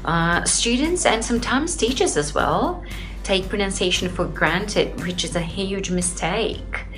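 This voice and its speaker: A woman's voice: medium pitch, animated, unhurried, fairly bright tone, faint music behind. A young adult Brazilian woman and English teacher answering interview questions earnestly, with many "uh" fillers. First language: Portuguese. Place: home in Sydney.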